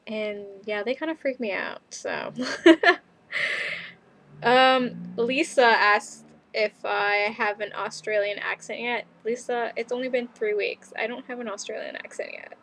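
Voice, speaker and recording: A woman's voice: on the high side, steady tone, unhurried, gravelly, a bit bright, a little hiss or hum. A young woman from Canada chatting casually in a video diary, rambling with lots of ums and little laughs. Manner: rambling, many fillers and laughs. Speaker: vlogger.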